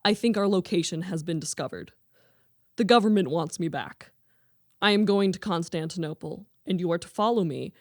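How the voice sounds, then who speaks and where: A woman's voice: lowish voice, normal speed, natural voice. A woman playing a proud, commanding merchant father in a radio play, speaking formally and emphatically. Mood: stern, commanding.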